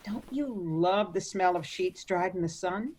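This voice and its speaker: A woman acting in a radio play as a sweetly meddling, fussy old mother-in-law with a genteel, gently disapproving manner. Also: fairly low pitch, measured pace. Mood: chatty. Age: older.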